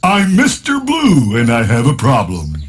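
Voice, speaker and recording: A man voicing a distressed, excitable storybook color character, plaintive and exclaiming in a sing-song way, with a husky, strained voice. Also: medium pitch, unhurried, exaggerated voice. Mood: puzzled, earnest. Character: distressed Mister Blue, a color character turned green, excitable male.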